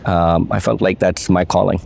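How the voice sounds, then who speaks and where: A middle-aged man with a Pakistani accent and a very low voice talks through his story as an interview guest. He is reflective and unhurried, and he drops in the odd Urdu phrase. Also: level tone, vocal fry, a little mellow. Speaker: guest, venture capitalist. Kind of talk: relaxed interview conversation.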